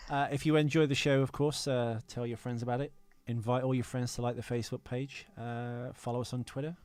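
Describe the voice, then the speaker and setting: A man's voice: medium pitch, little pitch movement, fairly quick, a little mellow, clear, resonant tone, dry recording. A man hosting a casual film podcast, talking in a rambling, hesitant, joking way. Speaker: podcast host. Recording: music behind the voice.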